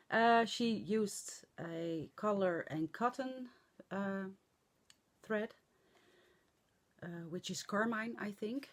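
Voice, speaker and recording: A middle-aged woman with a Dutch accent, speaking English as a second language, chatting in a hoarse voice to camera in a rambling, halting way with many fillers. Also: medium pitch. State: hoarse from laughing and talking. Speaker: flosstube vlogger. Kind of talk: casual chat to camera.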